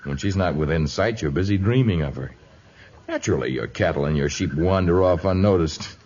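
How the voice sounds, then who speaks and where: A man's voice: deep voice, lively intonation, brisk, a little mellow, breathy edge. An actor playing a commanding, fatherly jungle hero with formal, declamatory phrasing, in a 1950s radio drama on an old muffled recording. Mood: firm, knowing. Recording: dull vintage radio recording.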